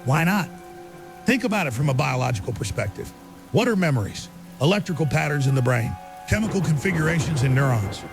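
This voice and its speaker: An earnest conspiracy researcher with a high, gravelly voice, giving an interview in a radio drama. He explains things with urgent conviction while music plays underneath. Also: sing-song, brisk. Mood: conspiratorial. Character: earnest, insistent male conspiracy researcher guest. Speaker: interview guest. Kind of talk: talk show interview in audio drama.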